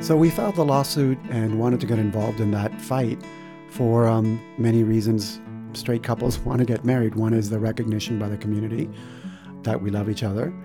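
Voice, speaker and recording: A man from Cuba talks about his life in an easy, good-humored way. Clear guitar music runs beneath his voice. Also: fairly low pitch, fairly even intonation, brisk. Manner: warm, good-humored, laughs lightly. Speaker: interviewee. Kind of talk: personal storytelling.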